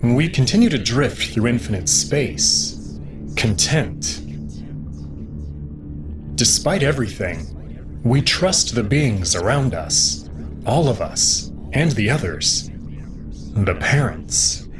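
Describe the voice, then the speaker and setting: A man reading dramatic first-person fiction in a dreamy, drawn-out way. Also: mid-range voice, very animated. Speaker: reader voicing a first-person protagonist. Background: music.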